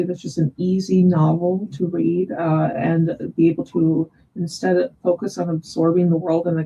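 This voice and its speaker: A woman hosting a webinar, putting questions to a guest with hesitant, halting phrasing over a dull video call microphone. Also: fairly low pitch, creaky, dark tone, breathy edge. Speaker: host, English teacher. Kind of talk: webinar interviewing, reading out audience questions.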